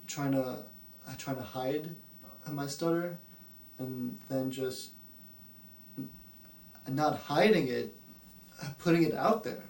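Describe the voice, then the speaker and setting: A young man with a stutter talks slowly and haltingly, breaking off and repeating words. His voice has a gravelly edge. He sounds distant from the mic in a roomy space with a faint hum behind him. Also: medium pitch. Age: young adult. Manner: hesitant, halting, with many fillers. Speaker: speech therapy client. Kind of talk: candid interview talk.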